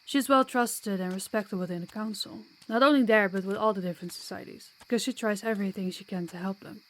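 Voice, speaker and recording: A woman's voice: mid-range voice, fairly quick, a bit bright, close to an everyday voice, poor audio. A woman playing a sociable, kind vampire guide in an audio roleplay, chatty and reassuring with a playful streak. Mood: earnest, reassuring.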